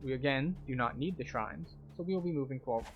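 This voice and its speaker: A man's voice: mid-range voice, brisk, highs cut off. A man giving live gameplay commentary as a tour guide, explaining things step by step. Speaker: game streamer and tour guide.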